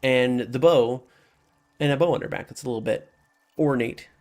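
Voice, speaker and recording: A man improvising narration as game master of a tabletop game, in a casual, halting way. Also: mid-range voice, darkish tone.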